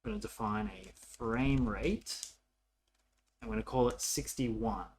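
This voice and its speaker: A man narrating a coding tutorial casually, thinking aloud in an informal, halting way as he works. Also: medium pitch, a little mellow, a little hiss or hum, poor audio. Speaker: programming tutorial presenter.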